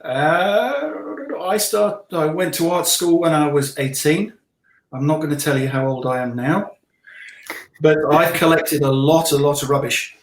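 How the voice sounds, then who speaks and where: Echoey, higher-voiced middle-aged man chatting. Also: unhurried. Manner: jokey, rambling. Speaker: illustrator and interview guest. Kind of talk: casual chat. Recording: roomy, echoey sound.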